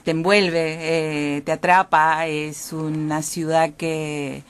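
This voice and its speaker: A woman from Chile, a poet, answering interview questions in a calm, thoughtful way with some fillers. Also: deep voice, takes it slowly, clear, resonant tone. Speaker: guest poet.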